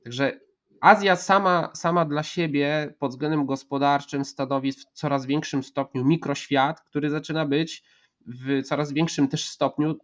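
A man in his thirties giving a measured geopolitical analysis over a video call from a room at home, with many hesitations and restarts. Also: on the high side, steady tone, fairly bright tone. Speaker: geopolitics commentator. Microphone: video call, earphones with mic. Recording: slightly dull lo-fi call audio.

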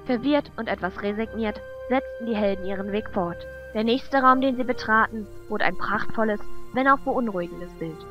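A woman's voice: on the high side, normal speed, few pauses, highs cut off. A woman reading scripted narration for a fantasy audio drama. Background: background music.

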